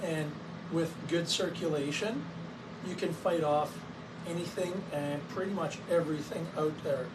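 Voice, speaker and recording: A hoarse man getting over a cold, chatting casually to camera about his clinic's equipment. His voice sits a little high, and he stops often on fillers and the odd cough. He sounds set back from the mic, with steady noise behind him. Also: measured pace. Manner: coughs between sentences, many fillers. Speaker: wellness clinic owner. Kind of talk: casual educational talk to camera. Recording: distant mic with clear background noise.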